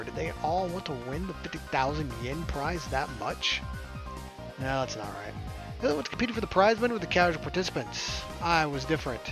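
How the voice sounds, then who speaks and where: Higher-pitched male let's player over music. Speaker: let's play streamer. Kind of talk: reading visual novel narration aloud with casual commentary.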